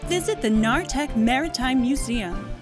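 Actress as a pompous, swooping museum ad announcer. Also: female voice, high-pitched, animated. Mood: ominous, grand. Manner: pompous, dramatic pauses. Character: grandiose, darkly sinister female museum ad announcer. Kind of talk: scripted parody museum advertisement voice-over. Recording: music bed under the voice.

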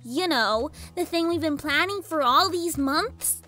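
Very high-pitched, bratty cartoon filly. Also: female voice, expressive, a little slow, faint music behind, exaggerated voice. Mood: annoyed. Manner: indignant, exasperated, shouting. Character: bratty, scheming little winged filly villain, easily outraged. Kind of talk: cartoon fan fiction voice acting.

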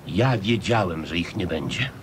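An actor playing a hapless, wheedling schemer who stammers and repeats himself defensively in a comic radio serial. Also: male voice, low voice, lively intonation, moderate pace, slight background noise. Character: blustering, wheedling, hapless middle-aged schemer husband.

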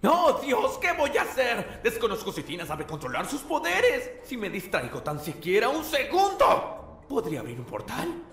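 A man playing a flustered, high-strung cartoon dad. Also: huge pitch swings, moderate pace, a bit bright, a little hiss or hum, exaggerated voice. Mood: anxious. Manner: exasperated, hesitant, prone to panicked yelps. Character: anxious, flustered young office-worker father of a demon child. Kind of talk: animated comedy fan dub. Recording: music behind the dialogue.

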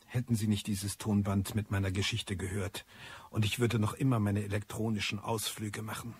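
An actor playing a disillusioned, ageing male hacker confessing on tape in a radio drama, brooding and theatrical, with a husky, raspy voice. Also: mid-range voice. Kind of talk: German radio drama monologue.

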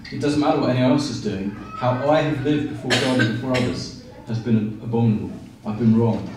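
A man in his forties preaching a sermon with animated gestures and frequent fillers and restarts. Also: mid-range voice, noisy background. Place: small room with blinds, indoors. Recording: very echoey room, from a distance.

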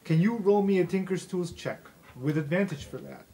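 A man's voice: sits high, normal speed, vocal fry, dark tone, a little hiss or hum. Male game master narrating casually.

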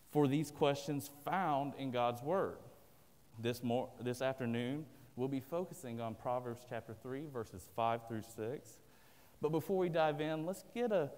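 A man's voice: medium pitch, darkish tone. A man from the American South with a Southern accent, preaching an earnest, plain-spoken sermon from a pulpit. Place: large church sanctuary indoors. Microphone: at a pulpit, filmed by a far camera. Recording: long church-hall reverb.

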